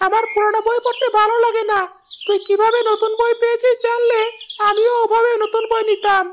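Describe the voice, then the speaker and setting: A childlike, inquisitive little boy from a cartoon , played by a woman. His voice is extremely high and stays fairly even in pitch. Faint noise and birdsong sit behind him. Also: fairly quick. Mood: pleading. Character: nosy, pleading little schoolboy, a younger brother.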